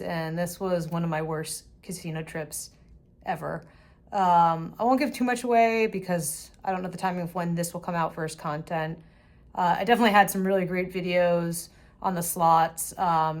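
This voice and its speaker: A woman in her thirties, talking casually to her phone camera in a selfie vlog, her voice a little worn, with many fillers. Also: fairly low pitch. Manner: relaxed, chatty, frequent filler words. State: slightly losing her voice. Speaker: vlogger. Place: hotel rooms and casino lobby, indoors. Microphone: phone camera at arm's length.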